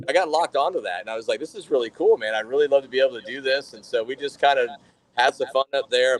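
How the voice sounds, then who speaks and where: A man in his thirties from Florida talks about his path into brewing in a relaxed podcast chat. He wanders through his story with plenty of fillers and false starts, sounding easygoing and unscripted. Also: medium pitch, rough recording. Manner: rambling storyteller with frequent fillers and restarts. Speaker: brewery general manager and brewer. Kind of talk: casual podcast interview.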